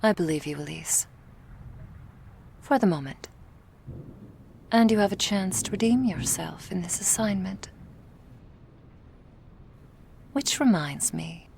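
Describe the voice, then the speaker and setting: A woman playing a cool, menacing female vampire crime boss in an ASMR roleplay, speaking in a measured, authoritative way. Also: medium pitch, expressive, creaky, darkish tone, slight background noise. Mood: quietly menacing.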